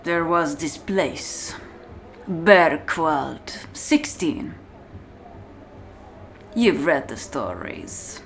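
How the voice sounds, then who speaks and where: Cold, very slow-speaking female warden, acted by a woman. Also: deep voice, animated, soft background music, full character voice. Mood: chilling reminiscence. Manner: slow, deliberate, menacing, often dropping to a whisper. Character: cold, contemptuous, commanding female prison warden. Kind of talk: ASMR-style dramatic roleplay monologue.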